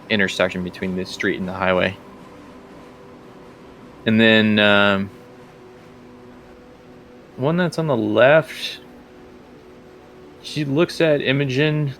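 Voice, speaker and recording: Low, gravelly man running a tabletop game. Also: a bit bright, a little hiss or hum. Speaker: guest game master. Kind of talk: casual improvised tabletop game narration.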